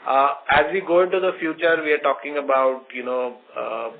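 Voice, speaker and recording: A man with an Indian accent speaks as a company executive on an earnings call, explaining things in a measured, halting way. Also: medium pitch, gravelly. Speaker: company executive answering questions. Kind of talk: earnings call question and answer. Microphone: conference phone call. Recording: tinny phone line with noise, clicks.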